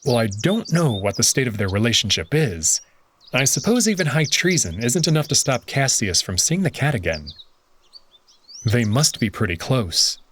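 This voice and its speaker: A man reads first-person story narration, reflective and expressive. His pitch rises and falls with lively movement through each line. A faint bed of outdoor nature sound sits behind him. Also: moderate pace, slight background noise. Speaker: narrator and lead character of a visual novel. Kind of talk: first-person scripted narration of a visual novel.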